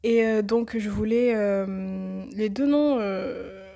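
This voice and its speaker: A woman with a cold hosting a reflective religious podcast, speaking thoughtfully and pausing often with fillers. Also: mid-range voice, fairly even intonation, mellow. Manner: hesitant, many filler pauses. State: has a cold. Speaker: podcast host.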